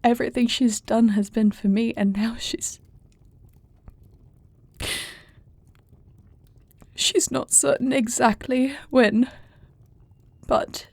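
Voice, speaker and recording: Woman acting a creaky-voiced, earnest warrior princess. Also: a little high, steady tone, measured pace, a little mellow, no echo. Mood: grieving, tender. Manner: formal, earnest archaic phrasing. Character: grieving young warrior princess about to be queen, devoted. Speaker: voice actor in an audio roleplay. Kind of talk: fantasy audio roleplay, intimate dramatic acting.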